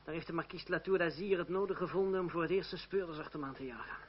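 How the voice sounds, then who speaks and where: An actor playing a proud, wry young suitor in a Dutch radio play, speaking in a measured, ironic way. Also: male voice, on the high side, natural voice. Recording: dull, hissy old radio recording.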